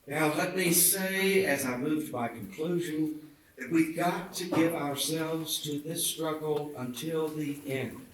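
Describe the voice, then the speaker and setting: A man's voice: on the high side, measured pace. A man reading a historic speech aloud at a public event with a preacherly, oratorical delivery. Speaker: reader at a commemorative event. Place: indoor theatre event. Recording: very echoey hall, distant mic, faint noise.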